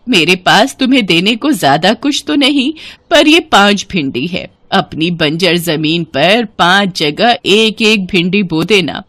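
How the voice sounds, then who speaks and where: A woman voicing a kind old beggar woman in a moral storybook tale, with a slow, drawn-out delivery. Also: mid-range voice, a bit bright, no room sound, full character voice. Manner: slow, drawn-out, pleading and blessing.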